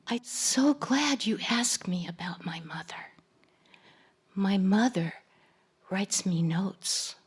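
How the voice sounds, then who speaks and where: Soft-voiced older woman, slow and gentle. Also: medium pitch, animated, husky. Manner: gentle, unhurried. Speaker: poet reading at an event. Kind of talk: poetry reading with spoken introduction.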